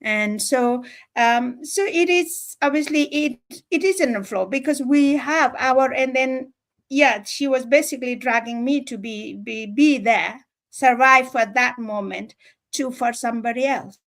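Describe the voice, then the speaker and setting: A woman from Nepal with a Nepali accent, giving an informal motivational talk in an earnest, emphatic, halting way, often repeating phrases. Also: high voice, a bit bright. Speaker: livestream speaker.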